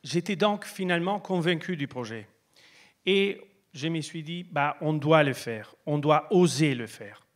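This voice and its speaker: A man from Italy, giving a prepared stage talk in French, pausing often on fillers. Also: a little high, lively intonation. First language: Italian. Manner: hesitant, many filler pauses. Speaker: pitch presenter.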